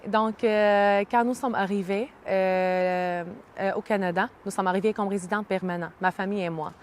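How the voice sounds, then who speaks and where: Syrian woman, 30s, Arabic native, hesitant interviewee with frequent fillers, mid-pitched, faint noise. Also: fairly even intonation. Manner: hesitant, many pauses and "euh" fillers. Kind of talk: casual interview.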